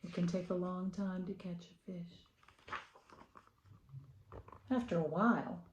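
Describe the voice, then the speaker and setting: A middle-aged American woman hosting a children's picture-book readaloud, talking casually and reading with expressive emphasis. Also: on the low side, slow, roomy sound, highs cut off, a little hiss or hum.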